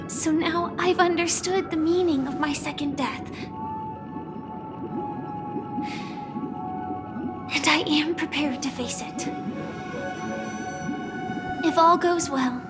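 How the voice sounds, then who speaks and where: A woman playing a gentle young warrior in a video game, resigned to death, speaking earnestly and with hushed, wistful deliberation. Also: high voice. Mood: solemn resolve. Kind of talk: dramatic video game voice acting. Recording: game music behind the voice.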